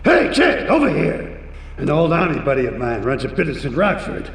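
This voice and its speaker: An actor playing a cynical, corrupt middle-aged cop who talks in a gruff, sneering street manner. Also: male voice, on the high side, wildly swooping pitch, brisk, a bit bright, reverberant, in a large hall, distant mic, full character voice. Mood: scheming.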